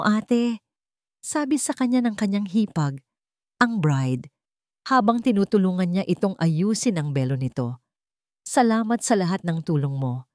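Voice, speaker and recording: A Filipino woman narrates a dramatic story in a fairly low voice. Her pitch moves in lively swings as she delivers the script in measured phrases with pauses. The sound is dry and close, with little room in it. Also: no room sound.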